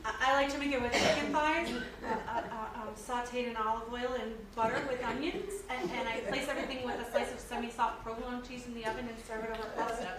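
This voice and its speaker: An actress plays a courteous, knowledgeable woman in a play reading, hesitant and quietly wry. Her voice sits a little high. The sound is distant and full of echo, with clear noise. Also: fairly even intonation, fast talker, close to an everyday voice. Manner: hesitant, explanatory. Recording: distant, echoey, noisy stage recording.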